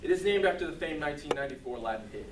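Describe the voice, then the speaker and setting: A man's voice: on the high side, animated, racing, lightly acted. A man voicing a small elderly village man who sets challenges with formal, portentous questions in a storytelling speech. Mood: solemn. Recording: roomy, distant mic, clearly noisy.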